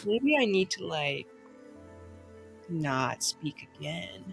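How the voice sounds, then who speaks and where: A woman's voice: on the low side, very animated, takes it slowly, vocal fry, faint music behind. A woman playing a tabletop roleplaying game, improvising and chatting casually in a rambling, sweary, exasperated way.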